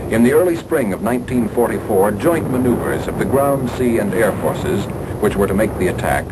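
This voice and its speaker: Male 1940s newsreel narrator, formal and declamatory, animated delivery under heavy vintage noise. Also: on the low side, brisk.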